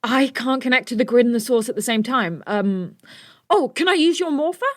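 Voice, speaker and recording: A woman's voice: fairly high pitch, animated, moderate pace, no echo, clean studio sound, natural voice. A woman playing a brainy, self-assured teenage superhero with a friendly, nerdy manner in an audio drama. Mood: excited, thinking aloud.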